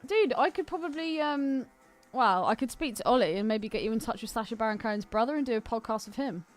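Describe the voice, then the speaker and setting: A woman's voice: fairly high pitch, animated, fast, faint music behind. A woman co-hosting a podcast in a casual, conversational style. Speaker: podcast co-host. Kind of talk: casual chat.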